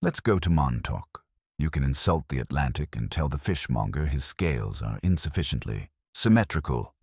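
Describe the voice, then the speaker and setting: A man's voice: extremely low pitch, lively intonation, moderate pace, a little mellow, husky, dry recording, studio quality, exaggerated voice. A man voicing a breezy, sardonic detective's assistant who teases and wisecracks in an audiobook. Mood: teasing. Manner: teasing, sardonic.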